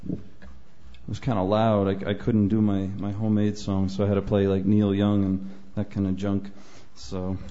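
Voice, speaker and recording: A man from Nova Scotia, a folk singer-songwriter, chatting on stage between songs in a soft-spoken, hesitant way with many fillers. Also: fairly low pitch, completely flat delivery, moderate pace. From Nova Scotia, Canada. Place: small live venue on stage. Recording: dull lo-fi live recording with guitar. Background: guitar playing faintly.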